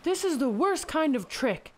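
High-pitched scolding village woman. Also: very animated, a little slow. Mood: indignant. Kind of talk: animated film voice acting.

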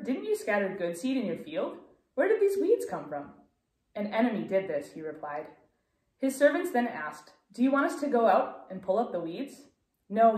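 A woman's voice: medium pitch, darkish tone. A middle-aged woman reading scripture and praying as the presenter of a children's message. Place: small room indoors. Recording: echoey room, distant mic.

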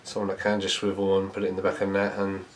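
Football boss after a match, deep flat voice, lots of ums, faint hum behind. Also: male voice. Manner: measured, reflective, many fillers. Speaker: football manager. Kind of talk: post-match interview. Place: small indoor press room before a sponsor board.

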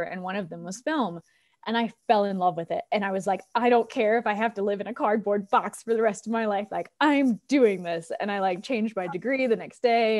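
An American woman in her thirties talking casually and informally as an interview guest, chatty and animated, on a video call. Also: medium pitch. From United States, Colorado. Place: living room on a video call. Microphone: wired earbuds on a video call.

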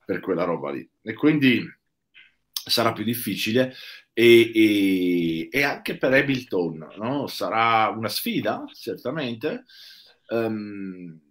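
Give me an older man with a deep, low voice, pausing and restarting as he explains on a video call. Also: expressive, a bit bright. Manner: thoughtful, hesitant, lots of fillers and restarts. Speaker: guest technical expert. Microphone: video call webcam.

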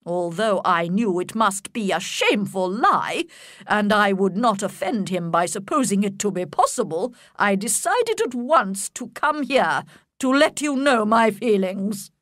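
A haughty older aristocratic lady, put on by a woman narrator, speaks slowly with stiff, commanding emphasis in a storybook reading. Her pitch swings widely as she presses her points, and the recording sounds close and dry. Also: sing-song, a bit bright, dry recording. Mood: angry. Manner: imperious, slow and emphatic.

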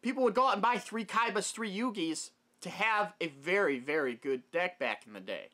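Bright, high-voiced young man. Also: expressive, moderate pace. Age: young adult. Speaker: video host. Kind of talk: casual opinion monologue. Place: small wood-paneled room at home.

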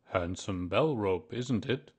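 Man as a slow, pompous storybook owl. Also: fairly low pitch, animated, slightly rough, heavily acted. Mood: self-satisfied. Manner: pompous, ponderous, drawn-out delivery. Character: pompous, long-winded, self-important male owl. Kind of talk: children's storybook character voice.

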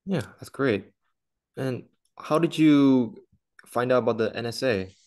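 A young man hosting a casual interview over a video call from his living room, asking relaxed, friendly questions. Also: mid-range voice, measured pace. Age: young adult. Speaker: host. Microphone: laptop webcam on a video call.

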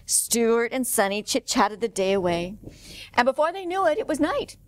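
Expressive high-voiced woman reading a children's story. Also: moderate pace. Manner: expressive, clearly paced for children. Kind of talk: read-aloud picture book narration. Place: indoor room, standing before a painted wall.

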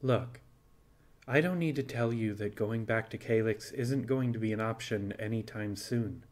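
A man's voice: fairly low pitch, normal speed, darkish tone, close to an everyday voice. Man playing a frank, reassuring pirate captain. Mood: frank, persuasive. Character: kindly, persuasive animal pirate captain of a starship.

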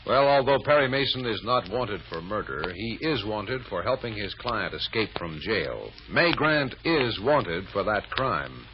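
A man's voice: on the low side, big pitch swings, unhurried, hardly any pauses. A man narrating an old-time American radio serial as its announcer, in a dramatic, suspenseful, emphatic manner. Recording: muffled old radio recording.

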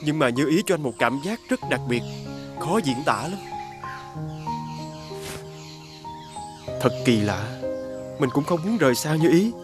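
Humble, higher-pitched young merchant. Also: male voice, sing-song, moderate pace, over music. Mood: bashful, wondering. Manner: gentle, respectful. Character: humble, kind elder brother, traveling medicine seller. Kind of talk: animated fairy-tale dubbing.

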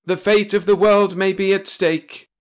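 A man's voice: high-pitched, flat delivery, unhurried, voice pushed up. Blustering old fleet commander, played by a man, raised emphatic voice, pompous, comic pulp sci-fi story. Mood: alarmed bluster.

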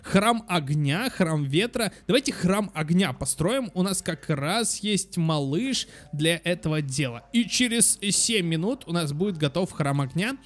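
A man with a high-pitched voice hosts a children's gaming video. He comments casually on the gameplay as he goes. His manner is excitable and affectionate, slipping into cutesy baby talk. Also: measured pace, hardly any pauses.

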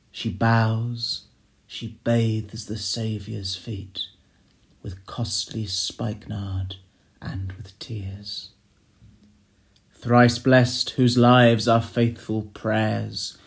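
Slow, solemn man reciting classic poetry. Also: mid-range voice, vocal fry. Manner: solemn, measured, expressive delivery with hushed line endings. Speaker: poetry reader. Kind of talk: dramatic recitation of classic verse.

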